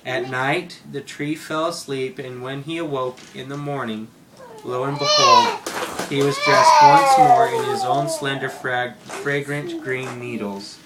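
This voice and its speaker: A man reads a children's tale aloud in an expressive storybook manner. His pitch swings in a lively way. The homemade recording is heavily noisy, with clicks. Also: unhurried. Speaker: story reader.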